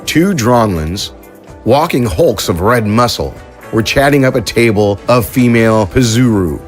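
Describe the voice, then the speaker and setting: A man narrating an audio drama in a steady storytelling delivery. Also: medium pitch, measured pace, soft background music.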